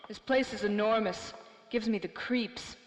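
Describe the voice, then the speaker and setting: A woman plays a plucky dame from a 1930s radio mystery. Her voice sits at a middle pitch, and she speaks in slangy, nightclub-girl fashion. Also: a little slow, a little hiss or hum, close to an everyday voice. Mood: curious. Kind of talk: 1930s old-time radio drama acting.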